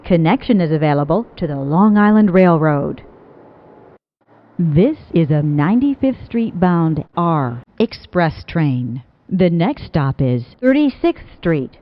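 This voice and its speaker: Slow, lively-pitched woman announcing trains. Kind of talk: scripted automated transit announcements, spliced phrases. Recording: dull lo-fi announcement clips.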